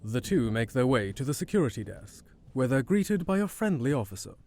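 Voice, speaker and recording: A man's voice: mid-range voice, big pitch swings, fairly quick, a little mellow. A man narrating an audio drama from a script, as its storyteller.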